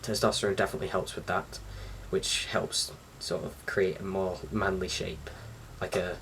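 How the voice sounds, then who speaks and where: A man's voice: deep voice, flat delivery, normal speed, vocal fry, a bit bright. A young man talking casually to camera as a vlogger, in an informal, slightly hesitant and rambling way. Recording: distant mic with faint background noise.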